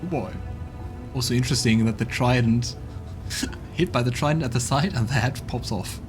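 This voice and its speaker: A man's voice: medium pitch, moderate pace. Guy chatting thru a game, mid voice, music playing. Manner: musing, thinking aloud. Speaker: let's play streamer. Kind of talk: casual game commentary and narration. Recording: music in the background.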